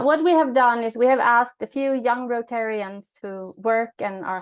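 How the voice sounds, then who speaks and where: A woman hosting an online meeting, calling on speakers in a relaxed way. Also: mid-range voice, clear, resonant tone. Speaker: host, zone coordinator. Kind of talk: meeting moderation.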